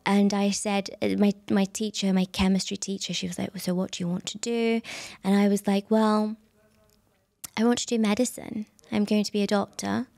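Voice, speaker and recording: A woman's voice: mid-range voice, moderate pace, creaky, no echo. A woman, telling stories in a casual interview with lots of fillers. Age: 30s. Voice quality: soft voice. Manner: animated storyteller, frequent fillers and laughs. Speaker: guest.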